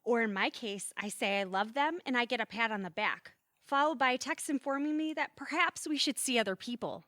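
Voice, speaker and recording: High-pitched, sarcastic twin sister. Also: female voice, fairly quick, pushed and bright, no room sound, studio quality. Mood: exasperated. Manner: sarcastic, blunt. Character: exasperated, sarcastic young woman sparring with twin brother. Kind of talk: audio theatre acting.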